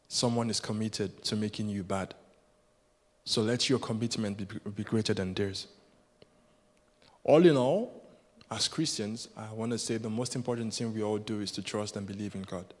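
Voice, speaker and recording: A man whose first language is Yoruba, preaching a sermon as a guest preacher in an earnest, rhythmic way that builds with repetition. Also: lowish voice. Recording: long hall reverb.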